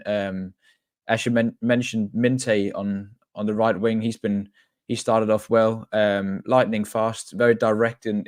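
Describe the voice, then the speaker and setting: Low-voiced man with hesitant speech. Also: dry recording, studio quality. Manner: hesitant, many ums and restarts. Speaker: podcast co-host. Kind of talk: casual chat.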